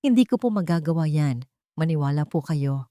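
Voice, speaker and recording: A loyal housemaid, played by a woman narrator speaks earnestly in an audio drama. Her voice is lowish and dry, with a pleading, anguished edge. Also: animated, brisk, dark tone, dry recording.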